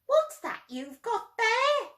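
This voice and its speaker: A woman voicing an excitable little baby boy owl in a children's storybook reading. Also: very high-pitched, slow pace, voice pushed up, exaggerated voice. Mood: curious. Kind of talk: children's storybook read-aloud. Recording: roomy echo.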